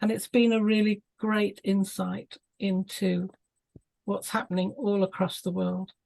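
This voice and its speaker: A woman's voice: mid-range voice, flat delivery, measured pace, gravelly, a little mellow. A woman talking casually on a video call, chatty and full of ums and small laughs. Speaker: club member on a video call.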